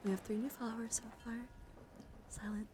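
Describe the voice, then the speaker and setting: A woman live streamer doing ASMR, speaking softly and mostly in a gentle whisper to her viewers. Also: on the high side, little pitch movement, fairly quick. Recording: clearly audible background noise.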